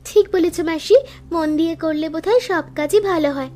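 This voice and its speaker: A woman's voice: very high-pitched, brisk, warm tone, slight background noise. A woman voicing a cheerful, eager young daughter-in-law in a cartoon.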